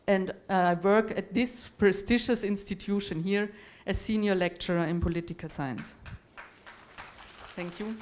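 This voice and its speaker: A woman's voice: mid-range voice, a little mellow, clean tone. A woman from Europe moderating a conference panel, giving formal, courteous introductions of the speakers. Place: conference hall.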